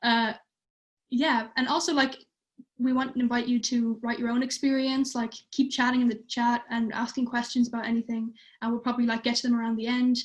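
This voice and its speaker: A woman's voice: on the high side, level tone, fairly quick. A teenage girl from Ireland, chatting casually on a livestream and using many fillers. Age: teens. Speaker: youth theatre participant.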